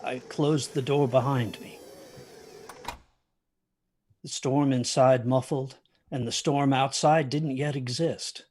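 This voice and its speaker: An actor playing an anxious, guilt-ridden young son, narrating a story in the first person with literary intensity. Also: male voice, medium pitch, fairly even intonation, takes it slowly, long pauses, a little mellow, slight background noise, close to an everyday voice. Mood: tense, reflective.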